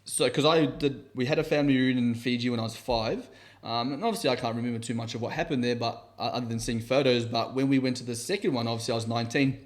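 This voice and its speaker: A man's voice: medium pitch, fast. Easygoing man from New Zealand. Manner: easygoing storyteller, frequent agreeing murmurs. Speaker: podcast co-host. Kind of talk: casual chat.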